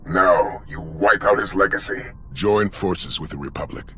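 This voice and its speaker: A stern older military leader in a game. The voice is low and hard-edged, with a blunt, defiant, commanding delivery. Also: male voice, unhurried, a bit bright, a little hiss or hum. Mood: stern, determined. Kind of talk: cinematic video game acting.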